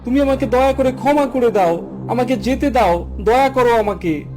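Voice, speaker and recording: A man's voice: extremely high pitch, moderate pace, a little mellow. A man playing a young traveler in a horror cartoon, exclamatory and easily rattled. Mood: terrified pleading. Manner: exclamatory, stammers when frightened. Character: weary, skeptical young male traveler who turns terrified.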